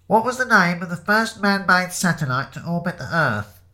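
A man voicing a pub quizmaster in a story, announcing trivia questions in a measured, projected way. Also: sits high, expressive, sharp tone, pitched above the performer's own voice. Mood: formal, unbothered.

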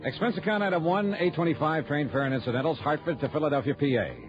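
A man acting a freelance insurance investigator in a 1960s radio drama. He is American, clipped and colloquial, rattling along quickly. The old broadcast recording sounds dull. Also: fairly high pitch, music in the background, close to an everyday voice. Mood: brisk narrating.

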